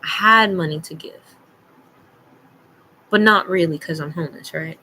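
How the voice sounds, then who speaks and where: A woman's voice: on the low side, moderate pace, pushed and bright, slight background noise, rough recording. A young adult woman telling a personal story casually, in a blunt, slangy, emphatic way, acting out bits of dialogue. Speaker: storytime vlogger.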